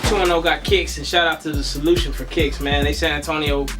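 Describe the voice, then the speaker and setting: A man, slightly raspy and under the weather with a cough, hosting a casual sneaker unboxing vlog in a slangy, laid-back way. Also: a little high, fairly even intonation, quick pace, music in the background. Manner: slangy, laid-back, addresses viewers as y'all. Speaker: sneaker vlogger.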